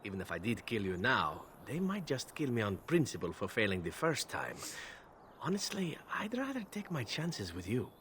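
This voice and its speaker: An actor playing a roguish captured assassin in a video game, wry, flippant and courteous. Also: male voice, medium pitch, huge pitch swings, moderate pace, fairly bright tone, slight background noise. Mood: persuasive, wry. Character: roguish, glib captured male elf assassin. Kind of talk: video game character dialogue.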